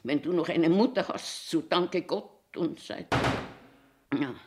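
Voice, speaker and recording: A woman's voice: deep voice, takes it slowly, very noisy. A woman in her eighties with a Bavarian accent, playing a cranky, eccentric old washroom attendant grumbling to herself in a theatrical monologue. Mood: brooding. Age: 80s. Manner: grumbling self-talk, swinging between scolding and muttering. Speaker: actor.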